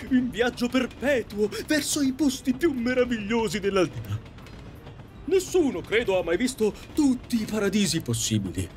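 A man's voice: sits high, darkish tone. An actor playing a courtly, theatrical ghost who tells his story aboard an afterlife train in an audio drama. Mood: wistful.

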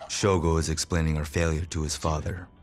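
Loyal japanese gang enforcer in a game, super low, formal and grave, soft music under. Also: male voice, flat delivery, a little fast. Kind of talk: video game cutscene acting.

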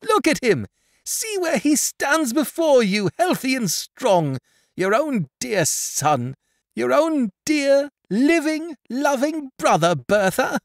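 A man's voice: lowish voice, expressive, measured pace, vocal fry, dry recording, clean studio sound, full character voice. Guy reading as a flustered sweet young wife, victorian story, breathless n swoopy. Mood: ecstatic. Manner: breathless, tumbling exclamations. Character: excitable, warm-hearted young wife, breathless with joy.

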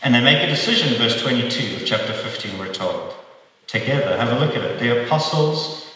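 A man's voice: mid-range voice, lively intonation, pushed and bright. Man preaching in an echoey hall. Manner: measured, with frequent pauses and restarts. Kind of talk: expository sermon. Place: church hall. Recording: echoey church hall, mic far off.

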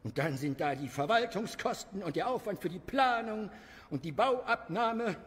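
A man's voice: sits high, animated, moderate pace, fairly bright tone. A man playing a greedy, pompous village priest in an audio story. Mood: scheming. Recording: long church-like reverb.

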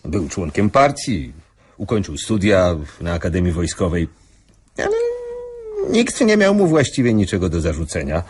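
An actor playing an older doctor who gives careful, formal, hesitant testimony in a radio play. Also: male voice, sits low, big pitch swings, normal speed, a little hiss or hum. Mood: careful, reflective. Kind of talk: radio drama acting.

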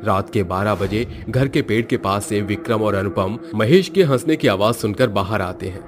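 A man's voice: lowish voice, normal speed. Male horror storyteller over background music. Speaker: story narrator. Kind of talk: scripted horror story narration.

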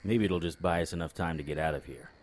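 A man playing a wry, world-weary detective narrating a noir audio drama in clipped, deadpan phrases. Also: deep voice, fast talker, a little hiss or hum, natural voice. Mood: urgent, wry.

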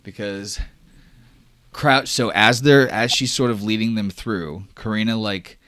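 A man's voice: lowish voice, steady tone, measured pace, fairly bright tone, no room sound, studio quality. A man running a tabletop roleplaying game as game master, talking casually and swearing freely.

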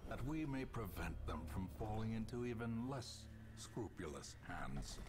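A man's voice: on the low side, very animated, unhurried, background noise. A man voicing a grim old ancestor narrating a game in a solemn, theatrical manner. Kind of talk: grave scripted game narration. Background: game music.

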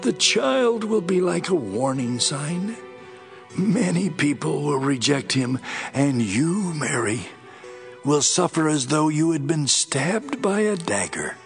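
An actor playing a devout, moved elderly prophet in a Bible audio drama, fervent and solemn. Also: male voice, on the high side, big pitch swings, soft background music, heavily acted. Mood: solemn warning. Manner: slow, fervent, declamatory.

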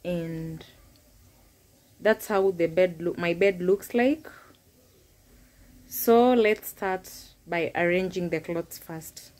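A woman's voice: fairly low pitch, slow, pauses often, a little mellow. A young woman, chatting casually to viewers as she tidies her bedroom, recorded on a phone. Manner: chatty, talks viewers through her tidying with small restarts. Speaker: vlogger. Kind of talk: casual home vlog narration. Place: bedroom at home.

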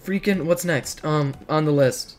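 Hoarse teenage streamer. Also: male voice, on the high side, measured pace, faint music behind. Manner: rambling, joking, reacts to chat. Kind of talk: casual livestream chat. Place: dim bedroom.

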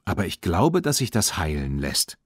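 A man voicing a sensible, caring mother in a children's audiobook, measured and practical. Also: deep voice, sing-song, breathy edge, dry recording, clean studio sound, full character voice. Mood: reassuring.

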